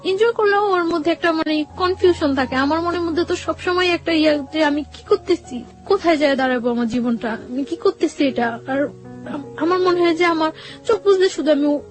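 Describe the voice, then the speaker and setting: A young woman with a high voice and a Bangladeshi accent recounts a personal story as an interview guest. She speaks haltingly, circling back over her words, with music playing behind her. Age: young adult. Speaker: guest telling own life story. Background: background music.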